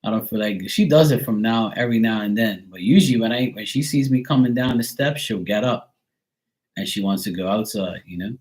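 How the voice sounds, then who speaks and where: A man's voice: lowish voice, fairly quick, darkish tone. A man from Bermuda chatting casually on a live stream, telling rambling everyday stories with lots of fillers. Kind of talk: casual chat.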